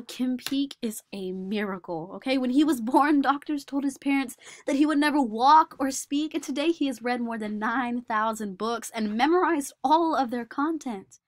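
A woman playing a shy, socially awkward male savant in a dramatic monologue, halting and repeating words. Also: fairly high pitch, dry recording, clean studio sound. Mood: earnest, awkward. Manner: halting, repeating words, swinging from whispers to nervous outbursts. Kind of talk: dramatic monologue for a speech competition.

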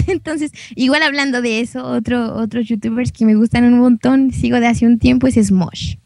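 A young woman chats as a guest on a gaming show. Her voice is fairly high and sounds dry and close, with an informal, giggly way of telling stories. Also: moderate pace, vocal fry, a little mellow, no room sound. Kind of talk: casual chat, telling anecdotes.

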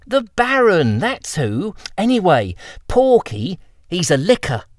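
A man voicing a tall, tough young girl apprentice, talking in a brisk, teasing way. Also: high-pitched, huge pitch swings, no echo, studio quality, pitched above the performer's own voice, heavily acted. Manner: brisk, teasing, sharp-tongued.

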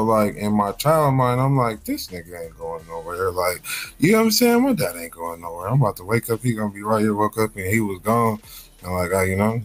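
Podcast guest, man in his 30s, very deep voice, easygoing street slang, webcam call audio. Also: low-quality recording. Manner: laid-back slang-heavy storytelling. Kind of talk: casual interview.

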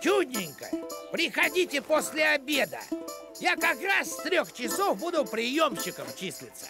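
A pompous cartoon postman, pitched very high and swooping up and down. He is fussy and officious, talking in bureaucratic phrases with music behind him. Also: very animated, unhurried, exaggerated voice. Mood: smug. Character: officious, pompous middle-aged village postman. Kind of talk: cartoon voice acting.

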